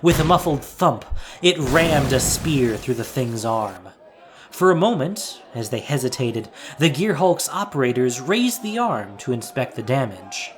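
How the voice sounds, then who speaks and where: A man narrates an audio drama in a dramatic storytelling style. His pitch moves expressively up and down as he reads the scripted action. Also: very animated, unhurried.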